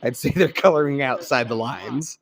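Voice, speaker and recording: A man's voice: mid-range voice, moderate pace, creaky, crowd noise. A man hosting his own channel, chatting casually while he tunes a radio at night indoors, recorded on a cell phone. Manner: relaxed, musing commentary over radio. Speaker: shortwave radio hobbyist host. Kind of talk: casual chat.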